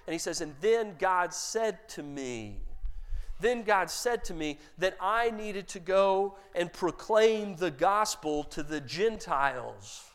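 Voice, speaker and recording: Male preacher, higher register, animated storyteller voicing characters in his own voice. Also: slow pace. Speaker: pastor. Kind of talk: sermon storytelling.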